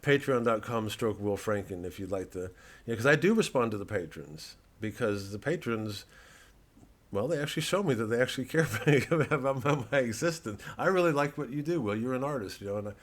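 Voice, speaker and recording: A man giving a rambling, digressive comedian's monologue, halting and sardonic, peppered with casual filler phrases. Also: mid-range voice, lively intonation. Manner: sardonic, mocking, profane when worked up. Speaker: comedian promoting his show.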